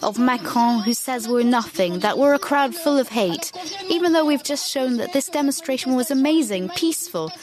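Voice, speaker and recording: Woman in a news clip, indignant and emphatic, fairly high pitch. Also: lively intonation, moderate pace, a crowd in the background. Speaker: interviewed protester.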